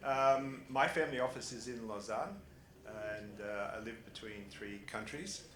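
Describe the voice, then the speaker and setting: A man's voice: lowish voice, measured pace, background noise. A man with an Australian accent speaking on a conference panel, chatty and laughing, with many fillers and restarts. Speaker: panelist, family office founder. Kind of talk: casual panel talk. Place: conference hall. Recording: roomy hall with faint ambience.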